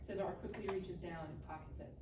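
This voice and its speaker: A woman's voice: fairly low pitch, fairly even intonation, fast. A woman reading scripted screenplay narration aloud at a live table read. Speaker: stage directions reader. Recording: echoey room, distant mic, clear noise.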